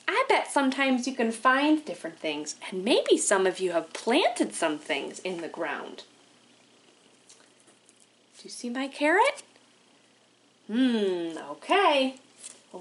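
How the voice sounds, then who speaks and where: A woman leading an interactive storytime for young children, animated and sing-song, asking questions with exaggerated wonder. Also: medium pitch. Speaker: children's librarian hosting storytime.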